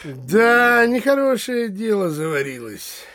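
A man playing a self-important provincial judge and country gentleman in a theatrical comedy audio play, with a drawling delivery. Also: sits high, sing-song, slow pace, full character voice. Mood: self-satisfied.

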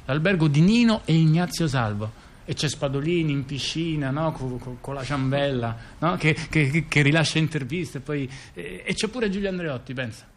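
A man's voice: a little high, animated, a little hiss or hum. A man telling a story in a theatrical, improvised-sounding way, stumbling into repeats and restarts and often adding short tag questions. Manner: halting, repetitive, stammered restarts and frequent tag questions. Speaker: monologue performer in a two-person radio theatre dialogue.

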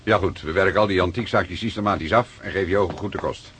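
A man's voice: sits low, fast talker, close to an everyday voice. An actor playing a seasoned middle-aged police detective in a radio play, teasing his partner in a wry, fatherly way. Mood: matter-of-fact. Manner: wry, avuncular, chuckling banter. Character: seasoned older male police detective, teasing, paternal, unhurried. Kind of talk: naturalistic radio play acting. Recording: radio play with clear background noise.

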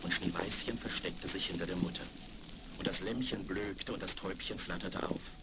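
A man's voice: low-pitched, steady tone, moderate pace. A man narrating a scripted fairy tale for children as storyteller, on a crackly 1970s vinyl record.

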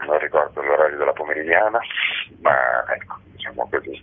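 A man giving halting, measured, reflective answers as a theatre director interviewed over a tinny telephone line. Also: a bass voice. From Rome, Italy. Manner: halting, measured, with pensive pauses. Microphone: phone call.

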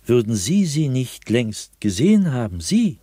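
A man playing an anguished, fearful, solitary diarist in a radio drama, speaking in a husky, brooding voice with sudden swells and hushed drops. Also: mid-range voice, very animated, a little slow, no room sound. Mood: searching, intense. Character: anguished, fearful, solitary male diarist haunted by nightly dread. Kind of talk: German radio drama monologue, diary read dramatically.

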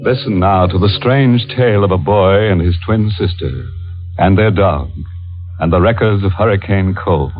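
Vintage radio horror emcee, played by a man: low-pitched, sardonic, theatrical menace, muffled broadcast sound. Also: normal speed, soft background music, lightly acted. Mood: ominous. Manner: theatrical, alliterative, tongue-in-cheek macabre. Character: sardonic ghoulish male horror host with mock-polite menace. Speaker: horror anthology host. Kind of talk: 1940s radio mystery hosting.

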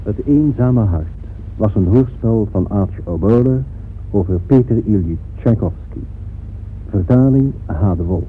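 A man's voice: lowish voice, animated, a little slow. A man working as a radio announcer, reading scripted credits in a formal style. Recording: muffled old radio recording, faint noise.

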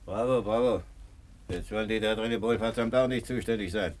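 Aggrieved invalid pensioner, male actor: low-pitched, earthy grumbling, ambient noise behind, radio play. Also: fairly quick, natural voice. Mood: bitter, aggrieved.

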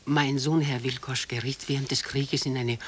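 A woman's voice: extremely low pitch, fairly even intonation, normal speed, slight background noise. An actress playing a proud, protective elderly mother in a German radio drama, formal and emphatic as she pleads for her son. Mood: pleading. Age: older.

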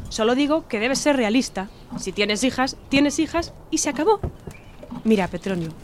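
A woman's voice: medium pitch, a little mellow, slight background noise, lightly acted. A woman playing a domineering middle-aged mother in a radio drama, lecturing and dismissive. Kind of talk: Spanish-language radio drama acting.

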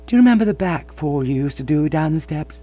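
A man voicing an aging former stage actress, a reserved grande dame who turns gushing and nostalgic, with a husky voice on a dull, humming recording. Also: low-pitched, animated, fast, pitched above the performer's own voice. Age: older. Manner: quiet, emotional, gushing with nostalgia. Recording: dull lo-fi recording with mains hum.

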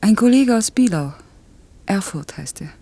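An actress playing a proud woman in a radio drama, talking in a cool, brisk and faintly mocking way. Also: mid-range voice, lively intonation, warm tone, no room sound. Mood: matter-of-fact. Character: proud woman coolly parting from a former lover. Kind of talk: naturalistic German radio drama acting.

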